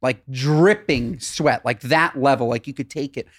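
A man co-hosting a podcast in casual chat, playfully dramatic and animated. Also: medium pitch, measured pace, no echo, clean studio sound. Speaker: podcast co-host.

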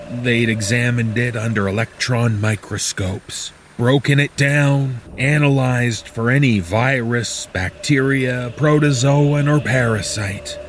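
A man playing a weary, bitter disaster survivor, narrating a horror story in the first person with deliberate, dramatic pauses. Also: medium pitch, noisy background, natural voice. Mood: bitter, frustrated.

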